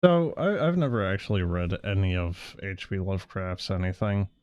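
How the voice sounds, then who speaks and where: A man's voice: low-pitched, moderate pace, darkish tone, clear, resonant tone, dry recording, muffled recording. A man streaming a game, casually commenting and reading text aloud.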